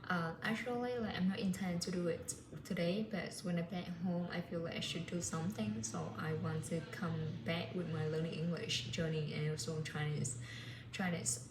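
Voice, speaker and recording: Woman, lowish voice, rambling casual chat, echoey noisy room. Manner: rambling, many fillers and "like". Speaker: language learner vlogger. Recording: echoey room with clear background noise.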